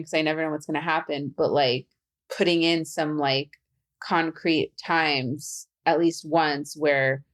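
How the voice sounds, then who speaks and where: A young adult woman who stutters, speaking as a podcast guest in a relaxed conversational way, with halting phrasing and restarts. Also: low voice. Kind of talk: conversational interview.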